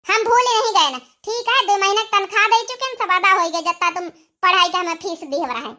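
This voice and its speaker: A woman's voice: very high-pitched, a little fast, sharp tone. A woman voicing a haughty young teacher wife in a comic cartoon, curt and dismissive, with an Awadhi accent. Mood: scornful. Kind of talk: comic village cartoon dialogue.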